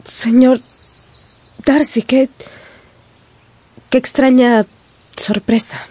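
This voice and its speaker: A high-voiced, spirited young gentlewoman. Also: fairly even intonation, very slow. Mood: awkward surprise. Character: spirited, self-possessed young gentlewoman, loyal and proud. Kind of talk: radio drama acting.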